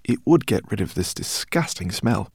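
Eccentric older professor voiced by a man, effusive, fussy, raised pitch, husky edge. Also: very animated, normal speed, no room sound, clean studio sound, full character voice. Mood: polite, fussy. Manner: effusive, exclaiming, gently fussy. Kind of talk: audiobook character reading.

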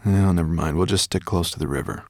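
A man voicing an excitable, curious young boy with a friendly temper in an audiobook. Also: deep voice, fast talker, no room sound, studio quality. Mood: casual. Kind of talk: audiobook character dialogue.

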